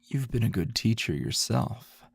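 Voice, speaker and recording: A man playing a grumpy middle-aged teacher in an intimate ASMR roleplay, a teasing, possessive lover with a low, gravelly, husky murmur and a slow drawl. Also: lively intonation, heavily acted. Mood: fond, teasing.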